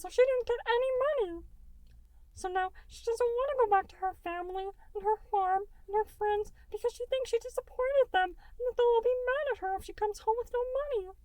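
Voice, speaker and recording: A woman voicing a childlike male cartoon goo creature, high-pitched and rambling with eager enthusiasm. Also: a little mellow, no echo, low-quality recording. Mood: earnest, excited. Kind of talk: breathless rambling cartoon retelling.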